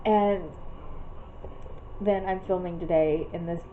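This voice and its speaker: A woman's voice: fairly low pitch, steady tone, slow pace. A woman in her thirties, fighting off a cold, chatting and rambling casually while she films her day at home and outside. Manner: chatty, rambling, laughs often. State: congested from a cold. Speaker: vlogger. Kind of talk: casual vlog chat. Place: at home, then outside by the front door. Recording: dull lo-fi camera audio with background noise.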